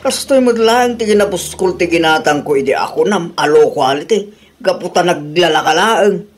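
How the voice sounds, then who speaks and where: A man's voice: sits high, lively intonation, normal speed, breathy edge. A man with an Ilocano accent playing a thrifty husband who argues about prices. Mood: arguing. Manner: arguing, reasoning. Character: frugal husband. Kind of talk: radio drama acting.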